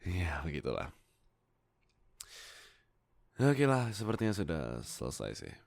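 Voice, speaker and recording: Young man, very low pitch, creaky gravelly tone, casual chat, informal, self-deprecating. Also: huge pitch swings, measured pace, a little mellow. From Indonesia. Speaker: YouTube roleplay voice creator.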